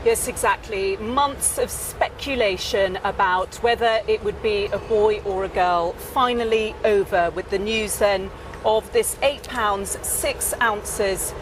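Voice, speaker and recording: A woman's voice: mid-range voice, slow pace. Woman correspondent, noisy outdoor live report. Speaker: news correspondent. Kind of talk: live news commentary. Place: outdoors outside a palace. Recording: outdoor broadcast with clear background noise.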